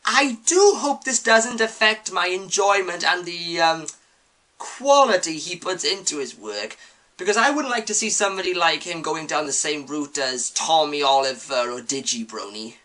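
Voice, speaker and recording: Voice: mid-range voice, lively intonation, normal speed, sharp tone. A reviewer giving semi-scripted commentary on a series. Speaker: video reviewer.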